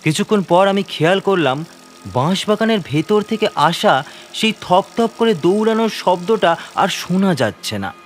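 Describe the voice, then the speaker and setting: A man's voice: high voice, lively intonation, moderate pace, slight background noise. A man narrating a first-person horror story in a suspenseful, theatrical manner.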